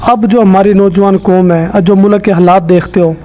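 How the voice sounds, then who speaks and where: A male television reporter with a Pakistani accent and a high voice. He asks questions politely and addresses viewers in formal presenting style. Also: steady tone, moderate pace, warm tone, a little hiss or hum. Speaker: TV interviewer, reporter.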